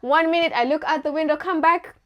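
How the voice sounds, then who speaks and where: A woman's voice: high voice, steady tone, a little fast, dull audio, crowd noise. Chatty young Kenyan woman. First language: not English; English one of her first languages. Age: young adult. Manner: chatty, frequent "like" and "you know". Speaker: guest, engineering student. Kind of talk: casual conversation.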